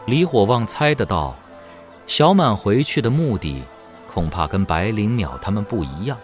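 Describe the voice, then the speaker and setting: Male audio drama narrator, lowish register, animated intonation, light music underneath. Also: normal speed. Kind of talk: scripted dramatic story narration.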